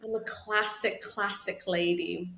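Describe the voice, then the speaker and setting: A woman's voice: medium pitch, measured pace, gravelly. Woman on a video call, echoey room. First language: English. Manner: relaxed, laughs easily, playful. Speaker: guest. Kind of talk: casual video-call interview. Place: small room at home, indoors. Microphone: video call with laptop mic. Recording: echoey room with faint noise.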